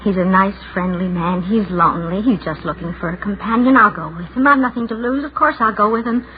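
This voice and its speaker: A woman's voice: medium pitch, brisk, lightly acted. Woman playing fretful lonely spinster, self-arguing inner monologue, 1940s radio drama, noisy narrow-band recording. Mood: torn, guilty. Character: anxious, lonely spinster's inner voice, torn by guilt.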